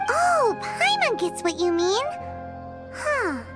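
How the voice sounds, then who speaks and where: A woman voicing a tiny floating fairy girl companion, high-pitched and bouncy, in a game with music behind. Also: sing-song, slow, exaggerated voice. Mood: cheery. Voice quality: high-pitched, bouncy, talks of herself in third person. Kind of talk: game voice acting.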